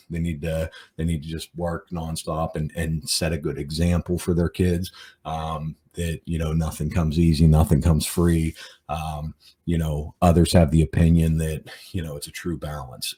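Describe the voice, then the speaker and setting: A man's voice: rumbling low, warm tone, slightly rough. A man in his forties talking through a casual podcast monologue, rambling with many fillers and repeated words. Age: 40s. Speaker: podcast host.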